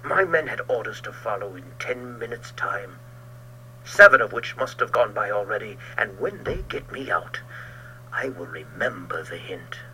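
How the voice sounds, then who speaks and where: A man's voice: lowish voice, expressive, moderate pace, bright, forceful tone, slight background noise, poor audio. A man voicing a fierce, haughty landowner. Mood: cold. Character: fierce, proud trapped male landowner.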